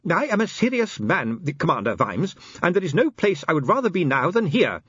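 A man's voice: fairly high pitch, wildly swooping pitch, fast, fairly bright tone, slightly rough, no echo, highs cut off, pitched above the performer's own voice, heavily acted. A man voicing a small, prim, earnest inspector with formal, emphatic speech, frightened but determined, in an audiobook. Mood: indignant, resolute.

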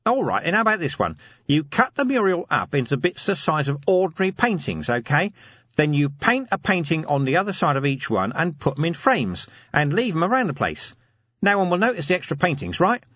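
A man performs a cheeky, scheming watchman from a fantasy storybook. He rambles eagerly in slangy speech, pitched up and lively, with a slightly rough edge. The sound is dry and a little dull. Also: fairly quick, no room sound. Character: Nobby, scheming cheeky low-ranking city watchman.

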